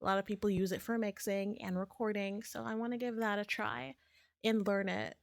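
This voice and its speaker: A woman streamer in casual, rambling chat, her voice a bit raspy as if she is getting sick, with lots of fillers and restarts. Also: medium pitch, fairly even intonation, a little fast, no echo. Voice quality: slightly raspy.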